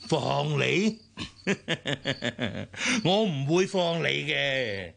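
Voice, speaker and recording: An actor playing a scheming middle-aged villain, mocking and gloating in a husky, gravelly voice, in a Hong Kong Cantonese radio drama. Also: male voice, on the high side, sing-song, a bit bright, heavily acted. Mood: menacing. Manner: drawn-out, mocking delivery. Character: scheming older male villain, gloating and ruthless.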